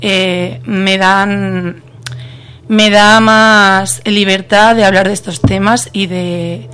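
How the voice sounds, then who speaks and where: A woman's voice: medium pitch, fairly even intonation, slow pace, a bit bright, clear, resonant tone. A woman from the Basque Country speaking candidly and thoughtfully on a radio roundtable, with many fillers. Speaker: roundtable participant. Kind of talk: casual radio roundtable talk.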